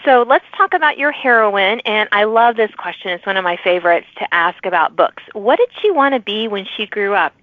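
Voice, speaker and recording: A woman's voice: medium pitch, expressive, a little fast, few pauses, no echo. A woman hosting a conversational author interview, responding in an encouraging, affirming way. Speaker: podcast host interviewing authors. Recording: narrow phone-like sound, dry.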